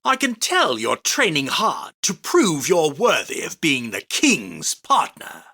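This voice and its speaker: Arrogant duelist king, raspy and theatrical. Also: a little high, huge pitch swings, slow, bright, forceful tone, heavily acted. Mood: haughty, proud. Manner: pompous, theatrical, commanding. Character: arrogant, grandiose self-proclaimed king of card duelists. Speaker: voice actor. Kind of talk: video game character battle lines.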